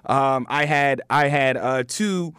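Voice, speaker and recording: A man hosting a casual interview, feeling his way haltingly into his questions. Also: mid-range voice, fairly bright tone, clean tone, no room sound, clean studio sound. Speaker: podcast interviewer.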